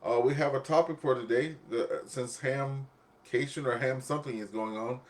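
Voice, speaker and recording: A man's voice: mid-range voice, fairly even intonation, normal speed. A man hosting an amateur radio net in a casual, rambling, chatty way, often repeating himself. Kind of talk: casual ham radio chat.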